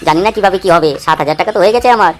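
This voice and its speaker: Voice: mid-range voice, rapid-fire, a little hiss or hum, natural voice. An actor voicing a sly, bossy fake holy man in a comic cartoon. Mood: gloating.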